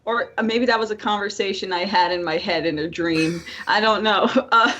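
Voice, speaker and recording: A woman's voice: mid-range voice, moderate pace, pushed and bright. A woman co-hosting a podcast in casual, playful, rambling chat. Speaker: podcast co-host. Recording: slightly dull, lo-fi audio.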